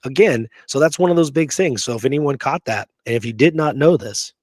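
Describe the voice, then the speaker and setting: A man's voice: mid-range voice, fairly quick, a little mellow, dry recording, clean studio sound. Informal, emphatic man hosting a history talk. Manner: informal, emphatic, checks in with "okay?" and "you know?". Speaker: history show host. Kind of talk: conversational commentary on history, reading accounts aloud.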